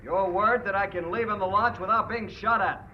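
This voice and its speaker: A man's voice: high voice, moderate pace, natural voice. An actor playing a cocky, cold gunman with a sneering, mock-friendly manner in an old-time radio drama. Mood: bargaining. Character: cocky gun-wielding male villain, mocking and cold. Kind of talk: 1940s radio drama acting. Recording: muffled, noisy old radio recording.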